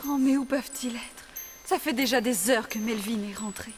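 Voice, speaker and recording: A woman's voice: a little high, normal speed, over loud music, natural voice. A woman playing a young queen in a fairy-tale cartoon, earnest, dramatic and determined to protect her child. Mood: worried.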